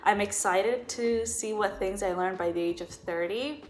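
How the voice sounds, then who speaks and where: A woman's voice: medium pitch, moderate pace. A woman in her twenties talking earnestly in a personal vlog. She often repeats words for emphasis. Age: 20s. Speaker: vlogger.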